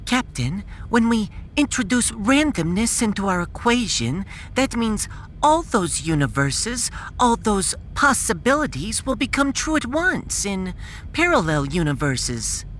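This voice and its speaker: A woman's voice: mid-range voice, very animated, measured pace, slight background noise. A woman playing a modest, devoted scientist wife in a science fiction audio drama, gracious and earnest.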